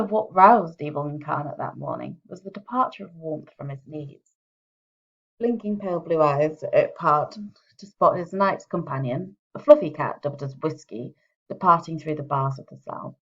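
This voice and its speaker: A woman's voice: low-pitched, fairly bright tone. A woman author presenting and reading her own work in a wry, theatrical manner. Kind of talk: chatty introduction, then expressive audiobook-style reading. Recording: dull, muffled home recording.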